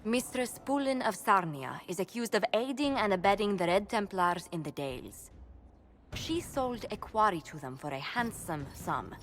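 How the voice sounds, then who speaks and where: An actress playing a poised advisor who reads out charges in a formal, precise, official manner in a video game. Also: female voice, mid-range voice, slight background noise, close to an everyday voice. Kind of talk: video game dramatic acting.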